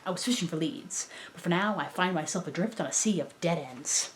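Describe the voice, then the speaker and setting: A woman voicing a male android detective in deadpan, melodramatic noir monologue, as in an amateur cartoon reading. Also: fairly low pitch, fairly quick, natural voice, poor audio. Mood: brooding. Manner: deadpan, overly descriptive, melodramatic. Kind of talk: hardboiled detective narration, amateur dramatic reading.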